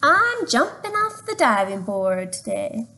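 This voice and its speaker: A woman's voice: fairly high pitch, big pitch swings, measured pace, sharp tone, heavily acted. A woman reading a picture book aloud as a young boy who talks brave but is nervous. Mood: boastful. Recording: noisy home webcam recording.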